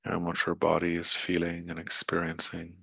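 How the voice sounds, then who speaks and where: A man leading a guided meditation in a soothing, unhurried manner with long pauses and gentle coaxing, his voice breathy and gravelly. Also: low-pitched, monotone, dry recording.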